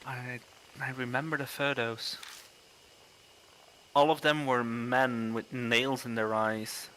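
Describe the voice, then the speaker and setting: A man's voice: mid-range voice, little pitch movement, takes it slowly, a bit bright, a little hiss or hum, rough recording. A man reading a horror visual novel aloud on a livestream, with casual commentary in between. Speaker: livestreamer playing a horror game.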